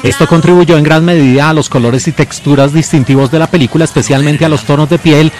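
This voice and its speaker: A man presenting a film in scripted narration, giving titles and names with deliberate emphasis. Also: a little high, steady tone, darkish tone.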